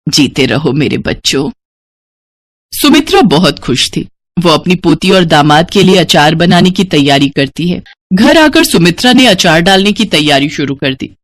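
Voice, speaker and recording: A woman's voice: on the low side, big pitch swings, moderate pace, dry recording. A woman storyteller delivering scripted narration for a moral bedtime story.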